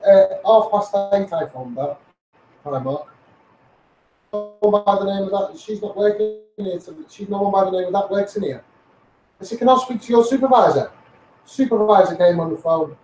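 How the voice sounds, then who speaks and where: A man's voice: sits high, moderate pace, darkish tone, roomy sound, soft background music, low-quality recording. A man with a Scouse accent giving a blunt, sweary, taunting rant to his online followers. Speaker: social media personality.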